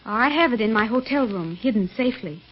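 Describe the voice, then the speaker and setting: A woman's voice: fairly high pitch, animated, brisk, natural voice. A woman playing an anxious, earnest young lady in a 1930s radio melodrama, fretful and carefully spoken. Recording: muffled old 1930s radio recording.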